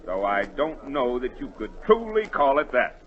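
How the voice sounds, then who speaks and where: A man's voice: medium pitch, very animated, normal speed, slight background noise, lightly acted. An actor playing a fussy, inquisitive townsman of a storybook kingdom in 1940s radio drama style. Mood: fussy, excited. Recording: muffled 1940 radio recording.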